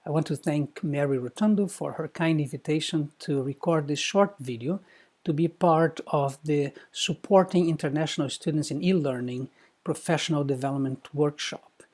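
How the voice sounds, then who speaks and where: Mellow middle-aged man. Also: fairly high pitch. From Brazil. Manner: measured, formal, articulate. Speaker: university professor, guest speaker. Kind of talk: prepared recorded talk.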